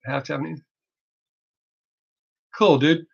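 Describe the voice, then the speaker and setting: A man's voice: fairly high pitch, steady tone, creaky, slightly rough. A middle-aged man with a Southern American accent and a drawl he talks over, chatting casually and bluntly on a livestream, rambling and joking. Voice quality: Southern drawl masked by fast talk. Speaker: reaction video creator hosting a live stream. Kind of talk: casual livestream chat, off the cuff. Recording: dull lo-fi livestream audio.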